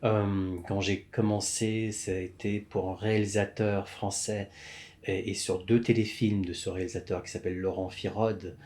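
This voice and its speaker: A man speaks in a somewhat low voice. He is a podcast guest talking through his career, thoughtful and halting, often backing up to restart a phrase. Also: flat delivery, a little mellow. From Canada, Montreal. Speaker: podcast guest, script supervisor. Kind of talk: interview conversation.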